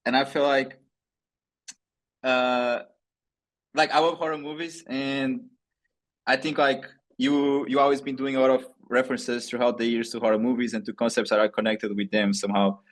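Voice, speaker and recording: A man's voice: mid-range voice, level tone, moderate pace, low-quality recording. A man with a Portuguese accent interviewing a fellow musician in casual, hesitant, repetitive phrasing full of "like" and "yeah".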